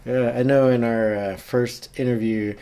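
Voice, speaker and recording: A woman podcast host with an unusually deep, low voice. She asks loose, rambling questions full of fillers. She keeps up steady murmurs of agreement while her guest talks. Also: measured pace, clean tone. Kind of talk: casual interview chat.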